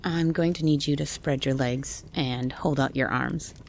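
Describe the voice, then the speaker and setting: Woman playing a grumpy forest loner, female, blunt, wary, frayed creaky voice, faint noise behind, audio roleplay. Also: extremely low pitch, steady tone, a little slow. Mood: grudging, wary. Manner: blunt, grumpy, foul-mouthed. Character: gruff, wary armed woman living in a forest cabin. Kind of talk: horror audio roleplay, ASMR.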